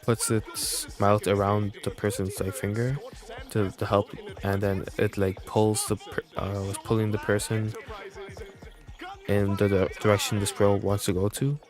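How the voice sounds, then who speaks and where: A young man chatting casually as a game streamer, rambling and halting as he goes. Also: on the low side, little pitch movement, over music. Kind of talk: casual livestream chat.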